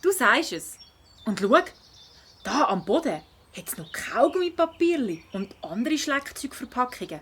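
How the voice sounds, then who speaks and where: A woman's voice: on the high side, measured pace, a little hiss or hum. A woman voicing a curious, feisty schoolgirl cat in a children's audio drama, speaking expressively with a Swiss German accent. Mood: investigating. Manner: expressive, inquisitive.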